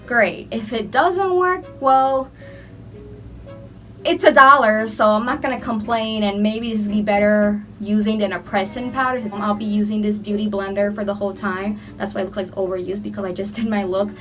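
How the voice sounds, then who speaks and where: A young woman talks through her makeup routine in a loose, rambling way, leaning on "like" as she goes. She sounds a touch under the weather from a cold, and her voice sits at an ordinary middle pitch. Background music plays clearly behind her in a room under filming lights. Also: fairly even intonation, normal speed, fairly bright tone. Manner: chatty and rambling, heavy use of "like". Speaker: beauty vlogger. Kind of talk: casual makeup tutorial chat.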